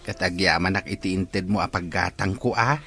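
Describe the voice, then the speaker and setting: A man acting as a gentle ailing father in an audio drama. His voice is low and husky, and he speaks as a grateful, fond parent. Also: steady tone, normal speed, soft background music, full character voice. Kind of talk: Ilocano radio-style drama acting.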